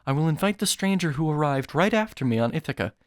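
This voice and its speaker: A man's voice: fairly high pitch, fast, no room sound, studio quality, pitched above the performer's own voice, natural voice. Man reading as a firm young prince. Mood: calm, firm. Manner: firm, calm, formal speech. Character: earnest young prince, firm, curt, burdened with worries. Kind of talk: audiobook epic storytelling.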